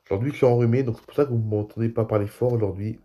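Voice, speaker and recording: A man with a cold, speaking softly as the host of an online aviation news show, reading articles and adding casual asides. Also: mid-range voice, steady tone, rapid-fire. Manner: reads articles, then adds loose spoken asides.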